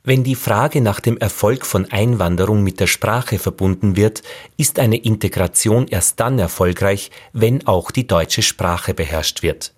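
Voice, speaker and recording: A man narrating a radio feature in a measured, questioning way. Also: lowish voice, breathy edge. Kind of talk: scripted narration.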